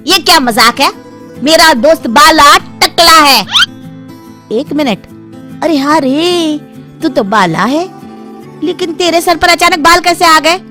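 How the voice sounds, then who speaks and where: Woman playing some excitable guy friend, loud, disbelieving, high voice, story style. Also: takes it slowly, pauses often, faint music behind, exaggerated voice. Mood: incredulous. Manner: loud, exclaiming questions. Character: incredulous male buddy, excitable and envious.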